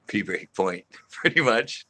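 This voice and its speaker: A man's voice: lowish voice, expressive, a little slow, sharp tone. A man hosting a fishing channel, chatting casually on a live stream, rambling with many fillers and restarts and laughing often.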